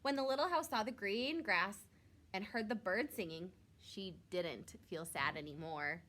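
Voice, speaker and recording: A woman's voice: medium pitch, slight background noise. A woman reading a picture book aloud to young children, expressively, drawing out words for emphasis like a teacher. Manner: expressive, with drawn-out emphasis and questions to listeners.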